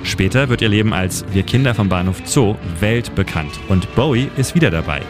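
A man with a fairly low voice narrates a scripted radio feature like a storyteller. A clear guitar music bed plays under his close studio voice. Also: animated, a little fast. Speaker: radio editor and city guide presenting a history segment.

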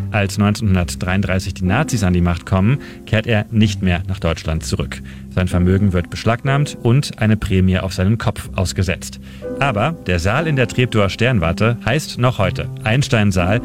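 Low-voiced male storyteller over clear music. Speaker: history segment presenter. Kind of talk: scripted storytelling narration. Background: music bed.

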